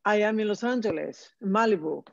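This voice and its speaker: A woman's voice: mid-range voice, vocal fry, no echo. Hesitant Argentine woman on a dull call. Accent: Argentine Spanish. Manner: hesitant, many fillers and pauses. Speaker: course participant. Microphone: video call. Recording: dull, dry video call audio.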